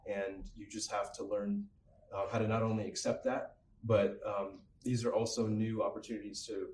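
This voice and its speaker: A young man with a low, very even voice talks slowly through his thoughts. The mic is a little far off, in a roomy space with a faint hum. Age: young adult. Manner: measured, hesitant with many ums. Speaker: university student, lab researcher. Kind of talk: candid panel discussion.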